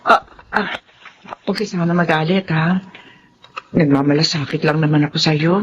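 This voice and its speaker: A woman playing a patient, gentle housemaid mother in a Filipino melodrama, hesitant and emotive in delivery. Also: sits low, steady tone, moderate pace, muffled recording, a little hiss or hum, natural voice. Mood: concerned. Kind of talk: Filipino radio-style melodrama acting.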